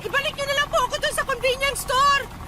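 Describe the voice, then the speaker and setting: A woman's voice: very high-pitched, steady tone, fast. An actress playing a polite young woman stranded at night in a radio drama, who pleads for her life. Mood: alarmed.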